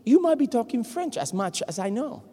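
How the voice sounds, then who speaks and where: A man from Puerto Rico, Spanish his first language, with a Puerto Rican accent, preaching an animated, joking sermon to a congregation. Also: very high-pitched, brisk. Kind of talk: lively sermon. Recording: long hall reverb.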